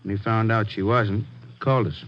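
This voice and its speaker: A man's voice: on the low side, fairly even intonation, moderate pace, natural voice. An actor playing a worried, insistent male police detective, colloquial and earnest, in a vintage radio crime drama. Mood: earnest reporting. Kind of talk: 1940s-50s radio crime drama acting. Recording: muffled, faintly noisy old radio recording.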